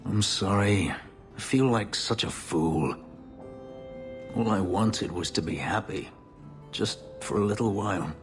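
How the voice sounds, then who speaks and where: A man playing a guarded, brooding elf and former slave in a video game, with a solemn, halting delivery. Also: lightly acted. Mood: anguished, regretful. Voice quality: deep, low voice. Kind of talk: dramatic video game dialogue. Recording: reverberant hall sound with music underneath.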